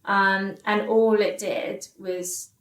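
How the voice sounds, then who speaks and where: A woman in her thirties talks through a personal story as a podcast guest. She speaks at a middling pitch, stumbling and restarting often, quoting back what others said. The room around her rings with echo. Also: level tone, a bit bright. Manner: halting, many restarts and fillers, quotes others. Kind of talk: candid interview storytelling. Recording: echoey room.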